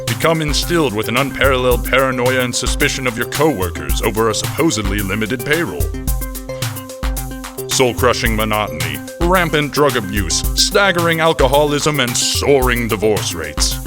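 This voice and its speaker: A man playing a cynical, contemptuous recruitment announcer in a parody commercial, with a polished, deadpan sales delivery. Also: mid-range voice, normal speed, lightly acted. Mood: mock-upbeat contempt. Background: music bed.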